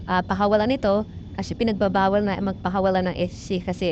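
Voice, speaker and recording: A muffled, lo-fi recording with some noise behind the voice of a woman vlogger with a Filipino accent. She speaks at a medium pitch and explains a technical job informally. Her delivery is halting and circles back over points, with English technical terms mixed in. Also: little pitch movement, a little mellow. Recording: muffled lo-fi audio with background noise.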